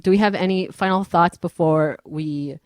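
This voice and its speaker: Female panel host, slightly low and dry; informal, loose, conversational moderating. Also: moderate pace, darkish tone, dry recording. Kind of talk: casual chat, hosting an online panel.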